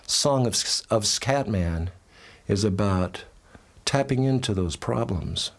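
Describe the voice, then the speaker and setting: A middle-aged man who stutters, talking candidly in an interview, tired from touring and laughing often. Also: deep voice. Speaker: interviewee, musician. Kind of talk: relaxed interview talk.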